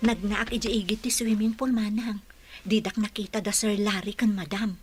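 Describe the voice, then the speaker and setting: A woman playing a cheeky, dutiful young caregiver in a radio comedy drama, brisk and playful, with faint noise behind her. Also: mid-range voice, steady tone, natural voice. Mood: gossipy.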